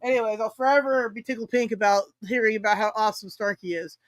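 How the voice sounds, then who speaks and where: A woman's voice: fairly high pitch, fast talker, dry recording. A woman in her forties bantering casually on a livestream panel, flippant and self-mocking. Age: 40s.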